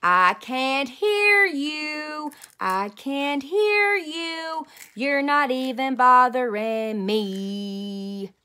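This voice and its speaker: A woman's voice: high voice, animated, very slow, sharp tone, pitched above the performer's own voice, full character voice. Woman acting a little kindergarten girl, storybook character, sassy, stubborn, childish grammar, emphatic excuses. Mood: loud singsong.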